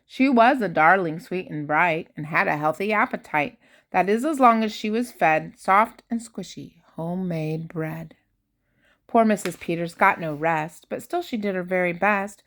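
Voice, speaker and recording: An older woman, a grandmother, reads a rhyming children's picture book aloud with expressive, theatrical emphasis on key words. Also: fairly low pitch. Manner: theatrical emphasis, drawn-out key words, dropping to hushed asides.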